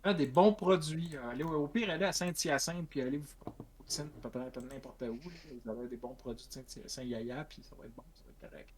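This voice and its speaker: Halting Québécois man searching for words. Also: mid-range voice, a little mellow, slight background noise. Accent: Québécois French. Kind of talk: casual chat on a stream.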